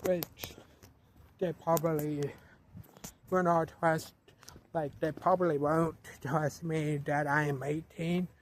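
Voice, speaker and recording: An eighteen-year-old man vlogging outdoors at night, talking to a handheld camera, slow and repetitive, often restarting his sentences. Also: fairly high pitch, flat delivery, a little hiss or hum. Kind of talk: casual vlog narration.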